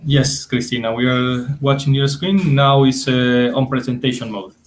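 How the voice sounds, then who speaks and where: Male host, on a video call; formal, halting introductions. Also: medium pitch, slight background noise. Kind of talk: introducing a speaker.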